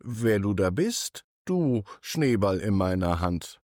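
A man's voice: fairly low pitch, sing-song, slow pace, warm tone, no echo, studio quality. A man voicing a curious young boy in a bedtime story. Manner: curious, wondering. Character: curious young boy who loves snow.

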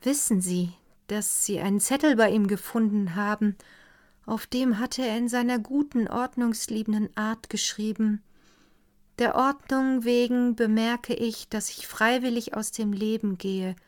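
A woman reading the part of a withdrawn young woman in a storybook, speaking soft and hushed. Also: fairly high pitch, steady tone, measured pace, pitched above the performer's own voice, close to an everyday voice. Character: withdrawn young woman keeping vigil, grieving.

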